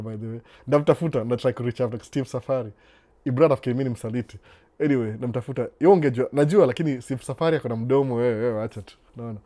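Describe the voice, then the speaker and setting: A man hosting a casual live stream. He answers viewer questions in a relaxed, unhurried way and moves freely between Swahili and English. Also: mid-range voice. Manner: reads viewer comments aloud and responds to them.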